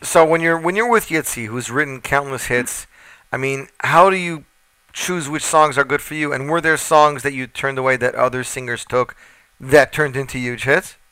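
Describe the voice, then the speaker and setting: Brief: male radio host, mid pitch, smooth clear voice, eager chatty questioner. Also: normal speed, pushed and bright, no echo. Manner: chatty, enthusiastic, stacks up questions. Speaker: radio interviewer. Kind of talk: radio interview.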